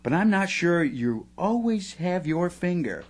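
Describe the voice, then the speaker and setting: An actor playing a pushy, sardonic boss of a struggling internet radio company in an audio drama. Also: male voice, sits high, big pitch swings, measured pace, heavily acted. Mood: businesslike.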